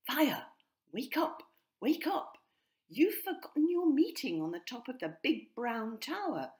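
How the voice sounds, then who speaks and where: A woman voicing a bossy female jackdaw in a children's story, urgent, shrill and nagging. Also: big pitch swings, unhurried, darkish tone.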